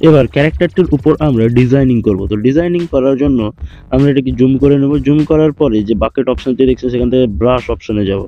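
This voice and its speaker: A man narrating a step-by-step software tutorial in an instructional way, repeating steps as he goes. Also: medium pitch. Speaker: tutorial host. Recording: dull lo-fi voice track with music. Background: background music.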